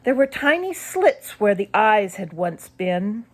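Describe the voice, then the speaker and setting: Casting: woman narrator, children's horror audiobook, unhurried pace, expressive varied intonation, builds suspense. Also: medium pitch. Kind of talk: scripted narration of a spooky children's story.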